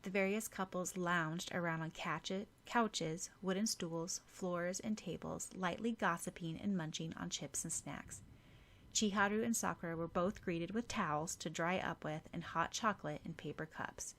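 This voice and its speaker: Lower-pitched woman narrating a story. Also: normal speed, a bit bright. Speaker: audiobook narrator.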